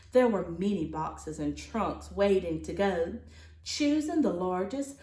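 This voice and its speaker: A woman teacher reading a children's storybook aloud, with expressive, deliberate phrasing in an echoey room. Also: medium pitch.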